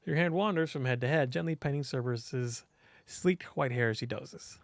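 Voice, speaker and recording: A man reading a visual novel aloud as host, in expressive scripted narration. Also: medium pitch, normal speed, no echo.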